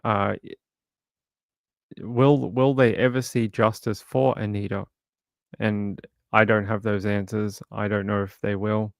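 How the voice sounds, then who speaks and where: Gravelly-voiced man, low and dry. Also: no echo. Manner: halting, many fillers and restarts. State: crackly voice. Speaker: true crime livestream host. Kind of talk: casual livestream commentary, reading chat.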